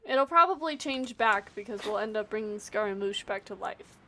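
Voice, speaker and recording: A woman livestreaming a video game with casual commentary, playful and expressive as she talks and reads dialogue aloud. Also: mid-range voice, moderate pace. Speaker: game streamer.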